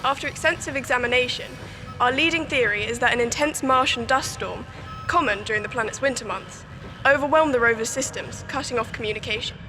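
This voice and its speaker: A woman's voice: a little high, fairly quick, over music, lightly acted. A young woman playing a confident scientist who explains things in an authoritative, matter-of-fact way in a scripted news drama. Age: young adult. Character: confident, dismissive female scientist.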